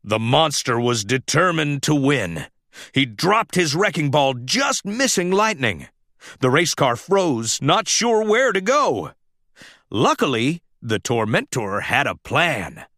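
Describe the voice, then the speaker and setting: A man reading a children's story aloud as a scripted, animated narration. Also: on the high side, slow, no room sound, clean studio sound.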